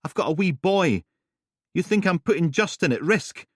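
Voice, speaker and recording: A man voicing an angry, defensive young mother who shouts accusations. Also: on the low side, animated, moderate pace, no room sound, studio quality, pitched above the performer's own voice.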